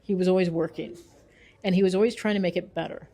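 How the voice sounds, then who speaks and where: A woman researcher talks in an interview with a mellow, soft-edged voice. She explains her views earnestly and leans on key points with emphasis, in a thoughtful, conversational way. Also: on the low side, steady tone, fast, a little hiss or hum. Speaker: researcher and author being interviewed.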